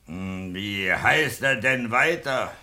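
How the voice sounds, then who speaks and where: Radio drama: muddled elderly villager, hard of hearing, very slow, sharp-edged and fairly high. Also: male voice, lively intonation, heavily acted. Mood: slow, querulous. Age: older. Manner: halting, slow to catch on, asks again. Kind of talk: German radio play acting.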